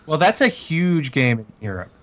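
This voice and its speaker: A man's voice: a little high, very animated, normal speed, fairly bright tone. A man co-hosting a podcast, speaking casually in a wry, teasing way. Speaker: podcast co-host. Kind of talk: casual chat.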